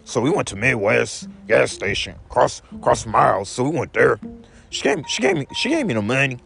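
A young man with a gravelly, creaky voice that swings up and down a lot as he rambles through a daily vlog. He keeps echoing his own phrases back as "you" statements. Faint music plays behind him. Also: mid-range voice, sing-song, a little fast, fairly bright tone. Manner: repeats each phrase back in second person. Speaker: vlogger.

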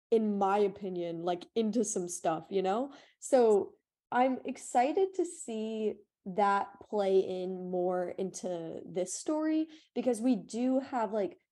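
A woman's voice: medium pitch, warm tone. A young woman co-hosting a casual book discussion podcast, chatty and gushing, peppering her talk with "like". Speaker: podcast co-host.

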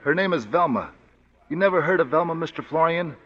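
An actor playing a huge, slow, simple-spoken male ex-convict in a 1940s radio drama, talking bluntly and ungrammatically, on a dull lo-fi broadcast recording. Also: on the high side, lively intonation. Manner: plain, blunt, ungrammatical speech.

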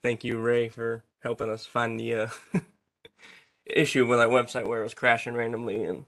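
Brief: mid-pitched man, flat monotone delivery, casual business catch-up on a call, frequent ums. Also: normal speed. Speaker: business owner on a group call. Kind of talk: casual group video call.

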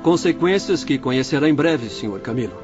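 Guy playing calm young spirit doctor, radio drama, formal and explainy, a bit lo-fi w/ soft music. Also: mid-range voice, a little fast, lightly acted. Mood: patient explaining. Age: young adult.

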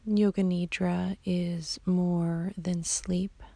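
A woman guiding a sleep meditation as a yoga nidra teacher, in a soft, whispery, soothing voice with long, unhurried pauses. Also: on the low side, little pitch movement, no echo. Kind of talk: slow guided sleep meditation.

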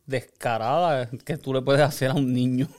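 A man's voice: mid-range voice, fairly even intonation, normal speed, darkish tone. A man telling stories in a casual chat, slangy, calling people brother and papi. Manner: storytelling, slangy, says brother and papi a lot.

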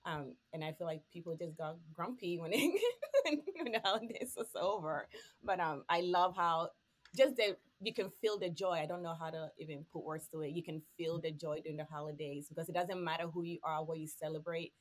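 Lower voiced woman from ghana, podcast chat, laughs a lot. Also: brisk. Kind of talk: casual chat.

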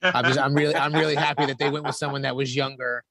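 A man's voice: mid-range voice, level tone, fast talker, vocal fry, bright, forceful tone, no echo, studio quality. Podcast host, man, emphatic and casual, steers the talk. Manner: emphatic, repeats himself, profane. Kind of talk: casual movie chat.

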